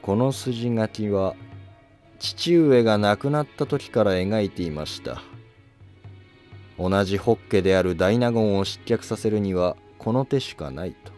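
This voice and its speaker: A man playing a calculating, courteous court noble in a historical drama, polite, measured and self-assured. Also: fairly low pitch, darkish tone, faint music behind, close to an everyday voice. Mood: composed, resolute.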